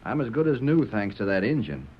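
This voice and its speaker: An actor playing an impatient, restless American rancher with exasperated folksy talk in a radio Western. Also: male voice, mid-range voice, sing-song, fairly quick, slight background noise, lightly acted. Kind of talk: old-time radio Western acting. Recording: dull old radio recording.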